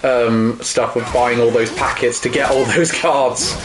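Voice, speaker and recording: A man's voice: medium pitch, fairly even intonation, a little slow, roomy sound, music in the background. A man in his thirties chatting casually over a video game, rambling, swearing freely and telling jokes. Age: 30s. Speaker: co-host gaming. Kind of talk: casual chat over gameplay.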